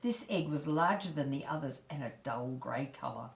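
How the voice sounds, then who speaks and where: A woman storyteller reading a children's fairy tale aloud in a measured, expressive way. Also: a bass voice, vocal fry. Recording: echoey room.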